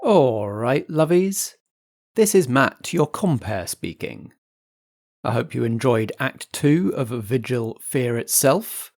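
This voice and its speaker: A man's voice: medium pitch, animated, takes it slowly, a little mellow. A man hosting a podcast as compere, reading scripted announcements in a theatrical style. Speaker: host, announcer.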